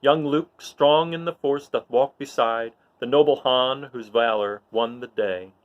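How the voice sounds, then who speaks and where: A man's voice: medium pitch, a little slow, highs cut off, close to an everyday voice. Guy doing all-knowing greek chorus, stately and solemn, declaiming shakespeare verse, kinda celebratory. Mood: triumphant, solemn.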